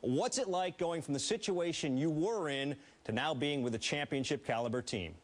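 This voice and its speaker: A man's voice: fairly high pitch, moderate pace. A man hosting a sports news program, speaking in a polished presenter's delivery as he introduces and interviews guests. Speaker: sports news show host.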